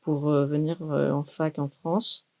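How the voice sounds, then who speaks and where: A young woman, a doctoral student speaking in a thoughtful, measured way with many pauses in a university podcast interview. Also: sits low, little pitch movement, gravelly, a little mellow. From Greece. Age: 20s. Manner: measured, thoughtful, with frequent hesitations.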